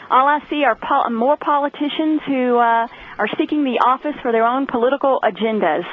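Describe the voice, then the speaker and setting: Hoarse woman on a tinny phone line. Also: sits high. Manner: earnest, many uh fillers. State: hoarse from a cough. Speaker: political candidate guest. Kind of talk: phone-in radio interview.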